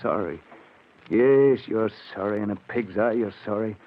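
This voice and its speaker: An actor playing a desperate, earnest working-class husband, halting and emphatic, in 1940s radio style. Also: male voice, fairly low pitch, close to an everyday voice. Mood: bitter. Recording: dull vintage radio recording, faint noise.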